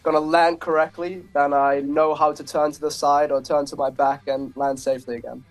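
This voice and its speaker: A young man from Austria, an athlete guest answering in a relaxed, easygoing way. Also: a little high, moderate pace, soft background music. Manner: easygoing, laughs often. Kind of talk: casual interview answers.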